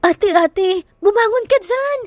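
Excited kid sister voiced by a female narrator: high, urgent, squealing delivery for a romance story. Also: unhurried, no room sound, clean studio sound. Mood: giddy excitement. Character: excited, giddy younger sisters urging big sister up.

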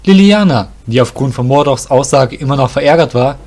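A man's voice: medium pitch, animated, a little fast, darkish tone. A man reading scripted narration for a fantasy audio drama.

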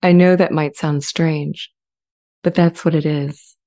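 A woman playing an earnest, gentle artificial intelligence in a dramatized transcript, speaking in a measured, deliberate way. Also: low voice, steady tone. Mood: earnest, calm. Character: earnest, gentle female-voiced sentient AI language model. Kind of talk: scripted dramatized transcript reading. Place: indoor home studio. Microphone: large studio condenser with pop filter, close.

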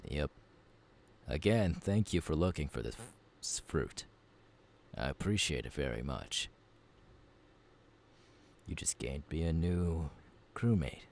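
Male actor as seasoned pirate swordsman, gruff yet easygoing, heavy vocal fry, slow intimate delivery for ASMR audio roleplay. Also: very deep voice, animated, darkish tone, exaggerated voice. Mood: relaxed, welcoming. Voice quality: gravelly. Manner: unhurried, addressing the listener intimately.

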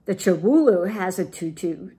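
A woman's voice: fairly low pitch, lively intonation, moderate pace, warm tone, husky, exaggerated voice. A woman voicing chirpy, teasing little kitten sisters in a storybook, sing-song and matter-of-fact.

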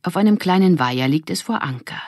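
A woman reading a children's audiobook as narrator, with expressive scripted storytelling. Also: lowish voice, a little fast, no echo, studio quality.

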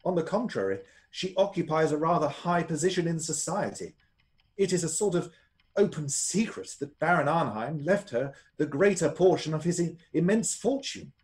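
An actor playing an earnest, despairing gentleman husband in a melodramatic radio play. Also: a little high, animated, moderate pace, lightly acted. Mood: grave.

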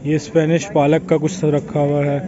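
A young man giving a relaxed, chatty walkthrough of a buffet, filming himself on a phone at arm's length. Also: a little high, moderate pace. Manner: relaxed, chatty, pointing things out as he walks. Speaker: food vlogger. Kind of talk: casual walkthrough vlog. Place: outdoor buffet at a waterfront restaurant. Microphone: phone camera held at arm's length. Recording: dull lo-fi sound with crowd chatter.

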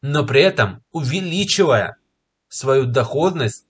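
Slightly ill young man pitching crypto. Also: expressive, husky. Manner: confident, persuasive, pausing with filler words. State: slightly ill, clearing throat and coughing. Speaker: crypto market commentator. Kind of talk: screen-share market analysis to camera. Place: small room indoors. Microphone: webcam in a corner of a screen recording.

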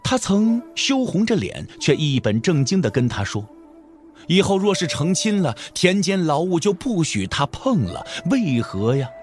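Very animated male storyteller over music. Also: fairly high pitch, normal speed. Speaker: narrator. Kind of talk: dramatic scripted audiobook narration. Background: background music.

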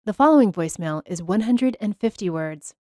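A woman reads a scripted tutorial in a clear, measured, professional way. Her voice sits in a middle register and sounds close and dry, with almost no room around it. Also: medium pitch, lively intonation, warm tone, dry recording. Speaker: voice-over artist explaining voicemail tips. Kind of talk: scripted instructional narration.

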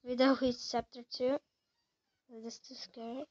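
High-voiced young woman gaming. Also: little pitch movement, darkish tone, muffled recording. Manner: halting, talks to herself while playing. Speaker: gamer playing a horror game. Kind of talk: casual let's-play commentary.

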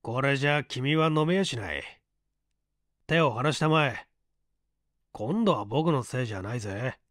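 Man reading as cool, unhurried tough man. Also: medium pitch, expressive, a bit bright, dry recording, studio quality. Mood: cool, mocking. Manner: leisurely, cool, commanding. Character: calm, unhurried tough man coolly lecturing his beaten foe.

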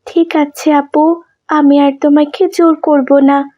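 A woman's voice: high voice, level tone, slow pace, muffled recording. A woman voicing a devoted, pious younger sister in a cartoon, speaking earnestly. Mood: grateful, gentle. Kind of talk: cartoon voice acting.

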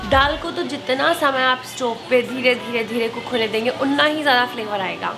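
A woman's voice: fairly high pitch, a little fast, music in the background. A woman, chatting casually and instructively as she narrates a recipe, switching in English words. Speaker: cooking vlog host. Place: home kitchen, indoors.

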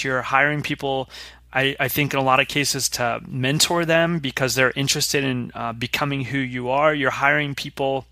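Bright, dry-sounding man co-hosting a podcast. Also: fairly high pitch, no echo. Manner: relaxed storytelling, explaining step by step. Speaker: podcast co-host. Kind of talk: casual business podcast chat.

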